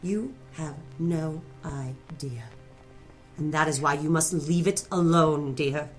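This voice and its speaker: A woman's voice: deep voice, slow, soft background music. An actress playing a cruel, haughty middle-aged mother in a noir audio drama, scolding and condescending. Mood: quiet, menacing. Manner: imperious, scolding, condescending endearments. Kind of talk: noir radio drama acting.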